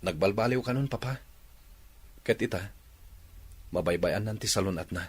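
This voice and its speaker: An actor playing a remorseful young man pleading softly with his father in a radio drama. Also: lowish voice, moderate pace, husky. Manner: soft, pleading.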